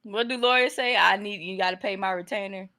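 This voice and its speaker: A woman's voice: mid-range voice, brisk, sharp tone. A woman in her late twenties co-hosting a podcast, chatting casually with frequent laughs and lots of "like.". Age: 20s. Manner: giggly, lots of "like" fillers. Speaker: podcast co-host. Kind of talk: casual chat.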